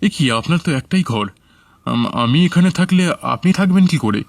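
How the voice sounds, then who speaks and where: A man's voice: fairly high pitch, expressive, moderate pace, gravelly, no room sound, pitched above the performer's own voice. A man voicing a puzzled, hesitant lost traveller in a horror cartoon. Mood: puzzled, uneasy. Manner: questioning, hesitant.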